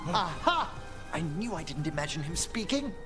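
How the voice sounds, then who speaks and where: Game role: formal, scholarly older male necromancer, very animated delivery, music behind. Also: on the high side, moderate pace. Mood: delighted, intrigued. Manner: formal, eloquent, marveling. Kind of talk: video game voice acting.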